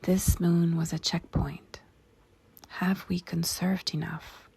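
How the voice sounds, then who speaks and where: A woman leads a meditation in a very breathy, whispered voice full of heavy creak. She speaks slowly, in short deliberate phrases with long pauses between them. Her delivery stays hushed and measured. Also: fairly low pitch, level tone. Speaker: meditation guide. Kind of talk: guided meditation narration with spacious pauses.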